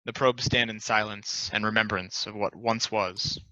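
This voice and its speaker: A man's voice: on the low side, fairly even intonation, normal speed, bright, forceful tone, no echo. A man reading scripted stage directions as narration at a table read. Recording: dull, lo-fi close dry recording.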